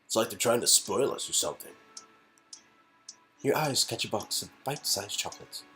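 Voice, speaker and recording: A man's voice: on the low side, expressive, normal speed, pushed and bright, faint music behind. A man reading an interactive game aloud, mixing story narration with casual asides. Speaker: reader performing an interactive game.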